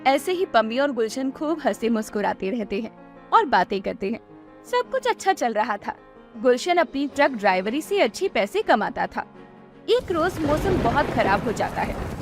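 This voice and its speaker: A woman narrating a scripted cartoon story in an expressive storytelling style. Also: fairly high pitch, normal speed, over music.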